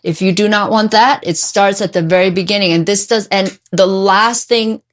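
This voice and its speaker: A woman's voice: mid-range voice, fairly even intonation, moderate pace. A middle-aged woman giving emphatic, opinionated answers as a therapist guest in a conversational interview. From born in Korea, immigrated at age five. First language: English. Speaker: guest, mental health therapist.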